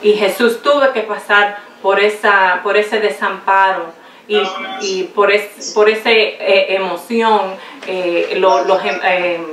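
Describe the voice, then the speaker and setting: A woman in her forties preaching a Good Friday sermon at a lectern. She pauses often to search for words and slips into English. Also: mid-range voice, steady tone, fairly bright tone. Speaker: pastor's wife preaching. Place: small home room set up as a chapel, indoors. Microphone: far camera, no visible mic. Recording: echoey room from a far camera.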